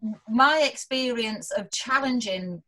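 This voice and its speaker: A woman's voice: on the high side, rough recording. A young woman being interviewed as a guest researcher, talking animatedly, laughing often and acting out what others say. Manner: animated, quick to laugh, acts out quotes. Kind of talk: casual interview chat.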